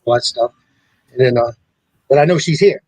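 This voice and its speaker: A middle-aged man hosting a talk show, speaking in a rambling, halting way with many restarts. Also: medium pitch, darkish tone, no echo, poor audio. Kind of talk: casual chat.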